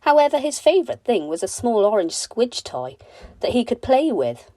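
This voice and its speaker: A woman storyteller gently and expressively narrating a bedtime story for children. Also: a little high, big pitch swings, moderate pace, no room sound.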